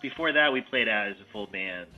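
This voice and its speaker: A low-voiced man musician being interviewed, easygoing and agreeable in casual chat. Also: animated, brisk, muffled recording, soft background music. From New York. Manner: easygoing, agreeable, says yeah often. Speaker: musician in a duo, guitar.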